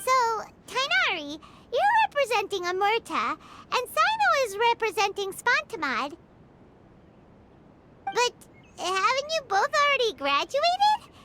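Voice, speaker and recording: A woman's voice: very high voice, wildly swooping pitch, unhurried, background noise, heavily acted. A woman voicing a tiny, chatty female fairy companion who talks about herself in the third person. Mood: chirpy. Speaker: guest commentator. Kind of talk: video game voice acting. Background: game music.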